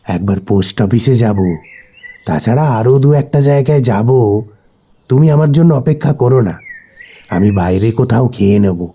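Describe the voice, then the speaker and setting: A man's voice: mid-range voice, expressive, normal speed, pauses often, warm tone, husky. A man voicing an evasive male friend in an audio horror story, casual, reassuring and matter-of-fact. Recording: voice over background music.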